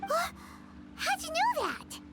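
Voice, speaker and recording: A woman voicing a small, chirpy fairy companion in an anime video game, squeaky, childlike and eager. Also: wildly swooping pitch, slow, gravelly, very noisy, exaggerated voice. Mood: polite, surprised. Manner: refers to self in third person, exclaiming. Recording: game music under the voice.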